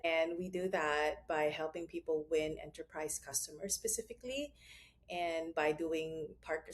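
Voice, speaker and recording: Lively woman from the Philippines with a lowish voice. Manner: animated, laughs often, rises in pitch when telling stories. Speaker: guest, founder of a consulting firm. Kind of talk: casual interview conversation.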